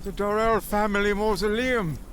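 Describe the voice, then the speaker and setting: An actor playing a fussy, flustered scholar in a fantasy video game, with a stammering, theatrical, wordy delivery. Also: male voice, sits high, steady tone, normal speed, noisy background, close to an everyday voice. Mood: flustered, excitable. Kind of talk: video game character acting.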